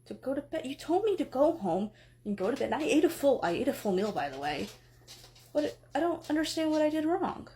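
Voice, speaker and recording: A woman voicing a sleepy, slow-witted male god who mumbles, hesitates and apologizes. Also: sits high, very animated, mellow, a little hiss or hum. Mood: flustered.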